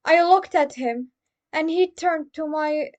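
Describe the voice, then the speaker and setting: A woman's voice: high voice, slow, darkish tone. A woman reading a story aloud in the first person, as dramatic narration. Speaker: fan fiction reader. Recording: dull lo-fi recording.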